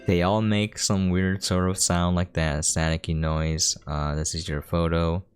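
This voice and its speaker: A man's voice: low-pitched, level tone, measured pace, smooth. A man giving casual video game commentary in an informal, conversational, explanatory way. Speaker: gameplay streamer, commentator. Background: faint game music.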